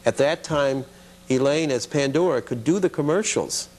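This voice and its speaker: A mature man being interviewed, reminiscing casually in a halting way and often repeating himself. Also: fairly high pitch, darkish tone. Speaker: interview guest, independent TV producer.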